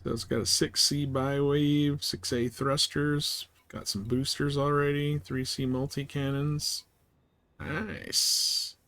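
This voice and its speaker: Guy doing a casual game stream, mid pitch voice, laid back, kinda thinking out loud in bits. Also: level tone, slow pace. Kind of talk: casual live gameplay commentary.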